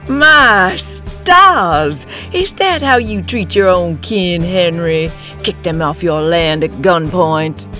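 Man voicing a slow, cooing, malicious woman. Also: high voice, expressive, a bit bright, soft background music, pitched above the performer's own voice, exaggerated voice. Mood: indignant. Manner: slow, cooing, mock-genteel taunting. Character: caustic, menacing veiled woman, sweetly malicious Southern kin. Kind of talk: audio horror story character dialogue.

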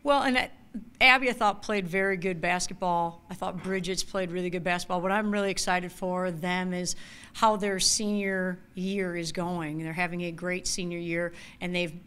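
A woman in her forties, a head coach, answering questions at a postgame press conference in a measured, analytical way into a table mic. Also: lowish voice, fairly even intonation, a bit bright, smooth. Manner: measured, analytical, repeats key words. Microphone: table mic in front.

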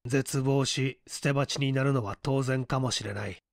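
Male narrator as calm hard-boiled man; cool, unhurried, commanding; dry audiobook sound. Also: medium pitch, creaky, no room sound. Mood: stern, unhurried. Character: calm, unhurried tough man coolly lecturing his beaten foe.

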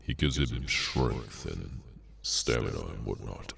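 Gravelly, abyss-deep cursed henchman. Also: expressive, a bit bright, distant mic, a little hiss or hum, exaggerated voice. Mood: dryly explaining. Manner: unhurried, dry, menacing. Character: cursed male henchman creature, menacing, bored and sardonic. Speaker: ASMR roleplay voice actor. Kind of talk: ASMR audio roleplay, slow dramatic monologue.

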